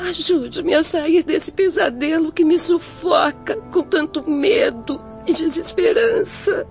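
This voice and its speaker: An actress playing a grief-stricken, frightened young daughter, crying and imploring in a radio drama. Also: female voice, extremely high pitch, takes it slowly, creaky, darkish tone, heavily acted. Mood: tearful, pleading. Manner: tearful, imploring. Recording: dull lo-fi audio under music.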